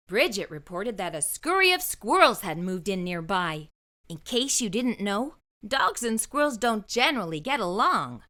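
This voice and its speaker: A woman reading a children's story aloud as an expressive narrator. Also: mid-range voice. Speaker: children's book reader.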